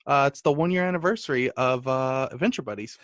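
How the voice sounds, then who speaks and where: A man's voice: mid-range voice, fast, a bit bright, dry recording, clean studio sound. A man co-hosting a comedy podcast as a guest, chatting casually and playing along with the bits in an easygoing way. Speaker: guest co-host.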